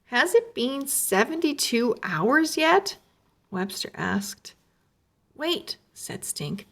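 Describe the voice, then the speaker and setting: A woman reading a children's chapter book aloud, slow and expressive, raising her voice for the characters' lines. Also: fairly high pitch. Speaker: read-aloud narrator. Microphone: close mic, camera over an open book.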